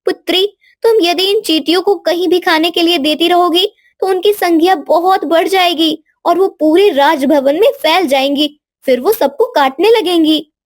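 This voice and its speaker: A woman's voice: very high-pitched, normal speed, dry recording, clean studio sound, pitched above the performer's own voice. A woman voicing an anxious, devoted queen and mother in a cartoon, with formal, pleading speech. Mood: concerned.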